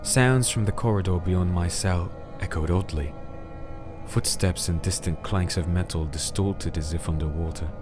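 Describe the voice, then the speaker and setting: A man acts out a brooding, wounded crusader telling his own tale in a very low voice. The delivery is solemn, literary and deliberate, as in a dark fantasy audio drama, with music clearly playing underneath. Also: lightly acted. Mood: somber, weary. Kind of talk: scripted first-person dark fantasy audio drama narration.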